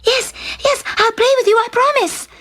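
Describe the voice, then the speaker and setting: A woman's voice: very high voice, normal speed, fairly bright tone, voice pushed up. A woman voicing a spoilt, petulant young princess in a children's story, with a dramatic, girlish delivery. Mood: eager, impatient. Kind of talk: storybook character voice.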